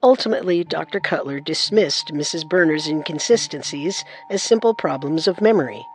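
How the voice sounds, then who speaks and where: A man narrating a true-crime radio story in an earnest, assertive way over soft music. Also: a little high, animated, fairly quick, rough recording. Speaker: narrator and co-host.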